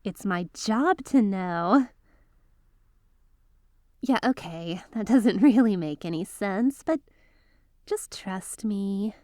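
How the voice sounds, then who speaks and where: A woman's voice: mid-range voice, lively intonation, slow, long pauses, a little mellow, no room sound, studio quality. A woman voicing a yandere veteran magical girl, an obsessive, lovestruck teacher, in an ASMR roleplay audio drama with a coaxing, confiding manner. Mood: coaxing, evasive.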